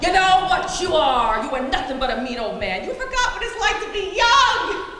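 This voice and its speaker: Woman playing a shrill, feisty scolding neighbour. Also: sing-song, moderate pace, sharp tone, full character voice. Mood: outraged. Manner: scolding, confrontational. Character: feisty, indignant woman neighbour defending a boy. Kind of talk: live one-act stage play acting. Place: indoor theatre stage, recorded from a distance. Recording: echoey hall stage recording with faint noise.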